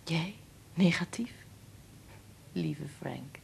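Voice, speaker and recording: An actress playing a warm, frank wife and mother in a Dutch radio play, talking in a soothing, confiding way. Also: low voice, extremely slow, gravelly, husky, heavily acted. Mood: soothing, affectionate.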